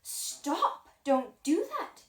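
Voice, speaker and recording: A woman's voice: high voice, very animated, very slow, echoey room, heavily acted. A woman voicing a haughty, fussy male dog who makes imperious demands in a children's storybook. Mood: indignant. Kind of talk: read-aloud children's storybook.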